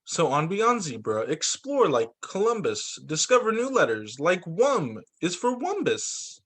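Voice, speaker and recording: Man, higher-pitched voice, very lively intonation, sing-song children's read-aloud, teacher storytelling. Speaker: teacher reading to young students.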